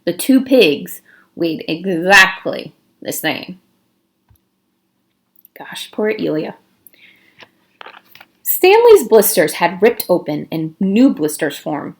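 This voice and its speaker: Woman narrator for children's fiction read-aloud, expressive, widely varied pitch. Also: slow pace, long pauses.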